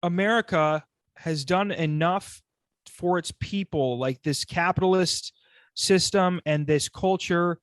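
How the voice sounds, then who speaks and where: A man talks on a casual podcast with a voice a little higher than most. He rants, swears freely and circles back on his own phrases. The sound is dry and close. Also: takes it slowly, no room sound. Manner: rants with profanity, repeats himself. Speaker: podcast co-host.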